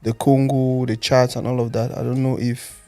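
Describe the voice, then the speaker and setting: A man's voice: mid-range voice, moderate pace, no echo, faint music behind. A man from Cameroon hosting a podcast, chatting casually and prompting his guest. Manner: relaxed, chatty, prompts guest with short interjections. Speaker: podcast host. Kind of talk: casual interview chat.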